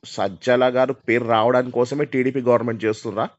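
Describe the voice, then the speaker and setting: A man's voice: mid-range voice, steady tone, fast talker, no echo. A man conducting a call interview, pressing with probing, persistent questions. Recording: dull lo-fi call recording.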